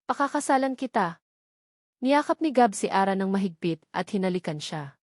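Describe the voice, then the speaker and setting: A woman's voice: mid-range voice, very animated, fairly quick, a little mellow, dry recording, clean studio sound. A woman with a Filipino accent reading a scripted romance story as its narrator.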